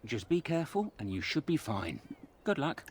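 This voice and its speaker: An actor playing a chatty, sly ex-burglar turned fence in a video game, talking in colloquial patter. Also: male voice, mid-range voice, sing-song, moderate pace. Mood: conspiratorial. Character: cheeky roguish male thieves' fence, ex-burglar with a conscience. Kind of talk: video game character dialogue.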